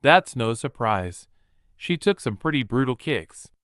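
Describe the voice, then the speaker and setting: A man's voice: medium pitch, big pitch swings, measured pace, a bit bright, no room sound. A man reads a horror audiobook in a vivid, present-tense style. His mid-range voice swings freely up and down the scale. The recording is close and completely dry. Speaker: audiobook narrator. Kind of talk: scripted horror narration.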